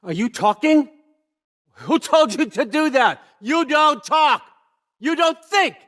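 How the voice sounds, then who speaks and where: A man's voice: very high voice, animated, takes it slowly, a bit bright, full character voice. An older American man acting in a video game as a scheming, controlling old father god, wry, folksy and conversational as he manipulates. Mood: furious.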